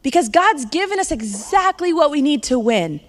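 A woman's voice: high-pitched, sing-song, moderate pace. Upbeat woman preaching in a reverberant church. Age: 30s. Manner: conversational, upbeat, laughs between points. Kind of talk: sermon. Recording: long hall reverb.

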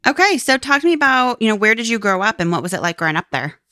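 A woman's voice: a little high, sing-song, fast talker, bright, forceful tone, no room sound, studio quality. A woman hosting an interview podcast, friendly and upbeat. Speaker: host.

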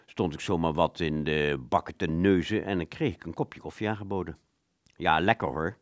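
A man's voice: deep voice, normal speed, no echo, clean studio sound, lightly acted. A man playing a polite, talkative, slightly flustered hair salon customer in a radio play, rambling with hesitations. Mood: chatty, flustered. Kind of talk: Dutch amateur radio play dialogue.

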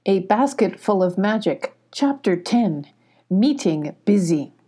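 A woman's voice: medium pitch, expressive, slow, warm tone. An older woman reading a scripted children's story as a storyteller.

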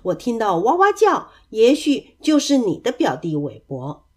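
A woman's voice: a little high, huge pitch swings, a little slow, breathy edge, exaggerated voice. A woman voicing a surprised, amused tiger, exclaiming in a children's storybook reading.

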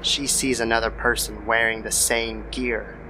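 A man's voice: on the low side, level tone, measured pace, low-quality recording. Male horror storyteller over prominent music. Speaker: narrator. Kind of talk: scripted horror story narration in old-time radio style. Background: background music.

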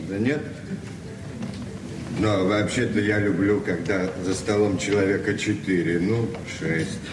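Radio drama: actor as ironic, world-weary man, lowish voice, deadpan and measured, noisy 1970s recording. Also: echoey room, distant mic, lightly acted. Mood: wry, detached. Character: dry, world-weary male party guest, quietly ironic. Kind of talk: Soviet radio play acting.

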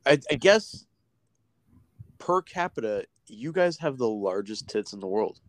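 An American man co-hosting a podcast, cracking crude jokes in a deadpan way. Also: mid-range voice, measured pace, no room sound, clean studio sound. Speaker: podcast co-host. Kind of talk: casual podcast chat.

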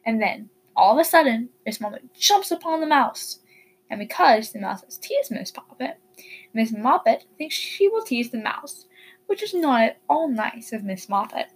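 Female storybook reader, higher voice with a husky grain, narrating for young children. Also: big pitch swings, normal speed, poor audio.

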